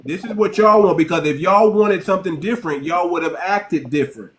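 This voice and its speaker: A man's voice: high-pitched, fairly quick, fairly bright tone, slight background noise. A man hosting a heated live stream, speaking emphatically and cutting in often. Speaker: host.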